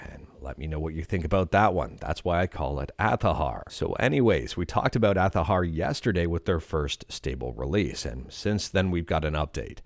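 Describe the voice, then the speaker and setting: Canadian guy doing tech news, super deep voice, dry close mic, casual and a bit hesitant. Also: darkish tone, no room sound. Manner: conversational, hesitant fillers when reacting.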